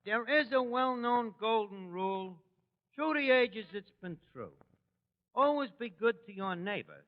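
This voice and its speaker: An actor playing a brash, wisecracking New York tavern manager in 1940s radio comedy, with colloquial, mangled grammar. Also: male voice, high voice, animated, unhurried. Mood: self-satisfied. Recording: dull restored 1940s radio, hall reverb.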